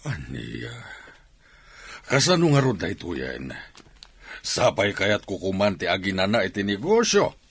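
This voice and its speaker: A man's voice: deep voice, huge pitch swings, a little slow, vocal fry, pauses often, husky, full character voice. An actor playing a scheming older businessman and father in an Ilocano radio drama. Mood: demanding, displeased.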